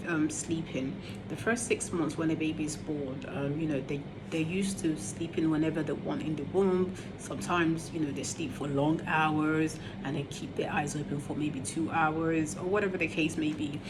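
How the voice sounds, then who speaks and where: A woman, a Yoruba speaker, hosting a parenting vlog. She gives casual advice in a chatty way, with frequent ums and restarts. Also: sits low. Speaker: parenting channel host, mother of two. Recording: noisy ambience in background.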